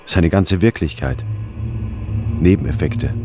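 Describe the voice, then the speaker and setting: A man's voice: low-pitched, slow pace, a little mellow, soft background music. An actor playing a brooding, analytical man narrating his obsessive research in a radio drama. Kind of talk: scripted first-person radio drama narration.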